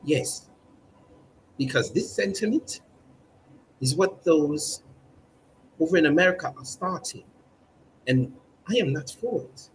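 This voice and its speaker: A man's voice: fairly high pitch, lively intonation, poor audio. Guy hamming it up as a fake newsreader, stiff formal delivery, stretches words out, bit of hum in the background. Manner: formal, stilted newsreader diction with drawn-out words. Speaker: mock news presenter on a livestream. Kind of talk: comedic mock newscast.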